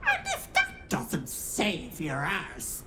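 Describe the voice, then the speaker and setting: An actress playing a sly, crude old hag in a fantasy game, mocking and sardonic, with a drawl. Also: female voice, lowish voice, wildly swooping pitch, takes it slowly, heavy background noise, full character voice.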